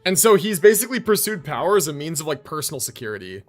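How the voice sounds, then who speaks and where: Animated male game streamer, slightly high. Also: fast, faint music behind. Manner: casual, slangy. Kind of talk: casual live reaction commentary.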